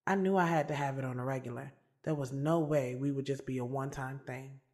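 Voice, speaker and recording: A woman's voice: extremely low pitch, fairly even intonation, fairly quick, a little mellow. A woman playing a bold, frank schoolteacher, narrating an audio drama in a conversational, slangy, confiding way. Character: bold, frank, strong-willed adult woman schoolteacher narrating her love life. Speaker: narrator and lead actor in an audio drama series. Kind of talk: first-person dramatic narration, conversational and streetwise.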